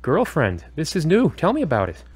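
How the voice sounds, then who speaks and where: A man acting as a calm, firm, probing therapist in a scripted audio drama, with a measured, instructive and questioning way of talking. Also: on the high side, breathy edge, heavily acted. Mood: attentive, probing.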